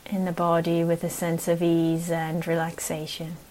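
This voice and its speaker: A woman meditation teacher leading a guided practice in a gentle, unhurried way, speaking in short phrases with long pauses. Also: lowish voice, monotone.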